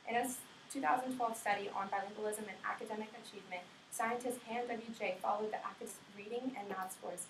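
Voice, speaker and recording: A woman's voice: medium pitch, fairly even intonation, fast talker, hardly any pauses. A young woman giving an informative class speech in earnest, often restarting and correcting herself, recorded from a distance in a classroom. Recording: roomy classroom audio from a distance with faint noise.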